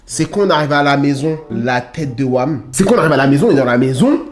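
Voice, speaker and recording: A young man casually telling a story to his sister, animated and full of slang, acting out the scenes. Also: mid-range voice, a little fast.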